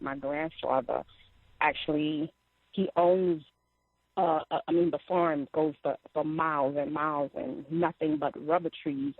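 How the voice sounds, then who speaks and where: A woman's voice: sits low, no room sound. Fired-up young woman calling in over a tinny phone line, lots of ums, from liberia. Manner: impassioned, halting with many fillers. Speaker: caller. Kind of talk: phone-in call to a talk show.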